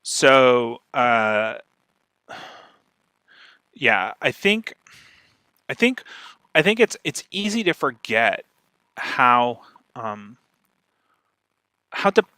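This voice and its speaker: A man speaking conversationally as a guest expert, animated and often checking in with "right?". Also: medium pitch, drawn-out words, long pauses, clear, resonant tone, dry recording, clean studio sound. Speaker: guest speaker, podcaster and marketer. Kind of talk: conversational talk on podcast marketing.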